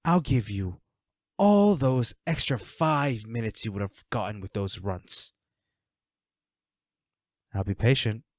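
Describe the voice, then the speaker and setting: A man playing a flirty, menacing villain in an audio roleplay, speaking to the listener with taunting, mock-sympathetic, unhurried delivery. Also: fairly low pitch, darkish tone, dry recording, clean studio sound. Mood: cold threat. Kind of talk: scripted audio roleplay acting addressed to the listener.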